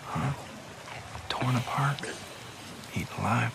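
An actor playing a gruff, menacing outlaw biker with a drawling, sardonic way of talking in a video game cutscene. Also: male voice, medium pitch, slow pace, heavy background noise. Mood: cold, taunting.

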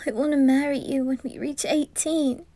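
A woman voicing a trusting, easily frightened, lovestruck teenage boy in an ASMR roleplay, breathy and hesitant. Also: high-pitched, fairly even intonation, normal speed, dry recording. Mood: tender, hopeful. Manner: breathy, hushed, hesitant delivery. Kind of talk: whispered ASMR roleplay acting.

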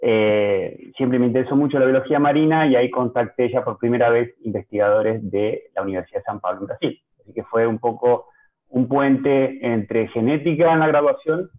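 A man's voice: medium pitch, moderate pace. Argentine man on a tinny line. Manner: hesitant, many restarts and fillers. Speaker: panel speaker, geneticist. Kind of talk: video-call panel talk. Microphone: video call.

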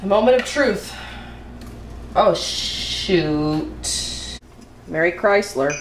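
A young woman goofing around while she cooks in her home kitchen, talking to the camera in a silly, self-mocking way with loud outbursts. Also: lowish voice, lively intonation, extremely slow, music in the background. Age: young adult. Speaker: vlogger. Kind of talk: goofy cooking vlog. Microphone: camera across the kitchen. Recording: echoey kitchen, audible noise.

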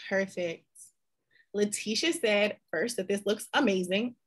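Breathy woman host reading questions. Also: medium pitch, measured pace. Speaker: live event host. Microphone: video call, webcam.

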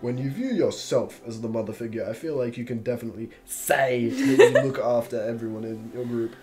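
A man's voice: medium pitch, big pitch swings, a little fast, over music. An eighteen-year-old man chatting casually as a podcast guest, teasing and joking and swearing loosely. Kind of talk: casual chat.

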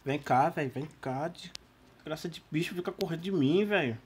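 A Brazilian man who is losing his voice, giving casual, slangy, excitable gameplay commentary. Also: a little high, normal speed, slight background noise. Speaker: gaming YouTuber.

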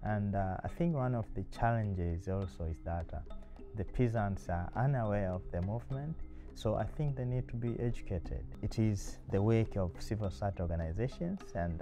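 A man in his thirties from Tanzania, a university lecturer speaking in a seated interview, thoughtful with frequent pauses and fillers. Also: fairly low pitch. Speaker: university lecturer and PhD candidate. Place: small indoor room.

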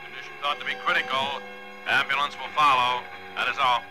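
An actor playing a clipped, impersonal police dispatcher heard over shortwave radio in a 1940s drama. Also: male voice, mid-range voice, fairly quick, over music, low-quality recording. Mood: impersonal, urgent. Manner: clipped, official monotone.

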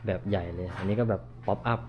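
A man in his thirties with a somewhat low voice talks his way through a shop, chatty and thinking aloud. He sounds like a casual vlogger filming on an action camera. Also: steady tone, a little fast, lo-fi sound. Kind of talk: casual vlog narration. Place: equipment shop, then outdoor lot.

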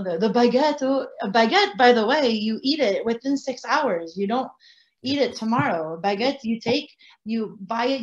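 Casting: woman in her forties, non-native English speaker, lively restaurateur, rambling, slightly dull call audio. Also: on the high side. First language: French. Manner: animated, gestures, rambling asides. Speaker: bakery and restaurant owner. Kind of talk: casual panel talk. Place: home room, indoors. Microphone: video call.

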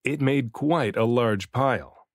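A man reading a children's picture book aloud as an expressive storybook narrator, varying his delivery for emphasis. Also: mid-range voice, takes it slowly, no echo, studio quality. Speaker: children's book reader, dad and voice actor.

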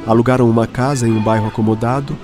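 A man with a Brazilian accent giving measured, scripted narration for a radio drama. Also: mid-range voice, steady tone. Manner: measured storytelling. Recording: music clearly behind voice.

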